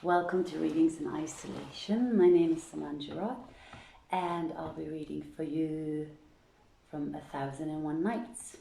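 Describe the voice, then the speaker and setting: A woman reading aloud as a storyteller, formal and theatrical, with measured delivery. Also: sits low, fairly even intonation, creaky, dark tone, slight background noise. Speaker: host and reader of a literary reading series. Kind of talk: greeting, then dramatic storybook reading. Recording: echoey room sound.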